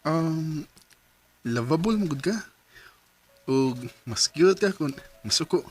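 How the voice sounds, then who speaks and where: An actor playing a young male student who courts a classmate, speaking hesitantly with many pauses. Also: a little high, animated, a little mellow, full character voice. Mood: hopeful. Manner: hesitant, halting, many ums and throat clears. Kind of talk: amateur radio drama acting.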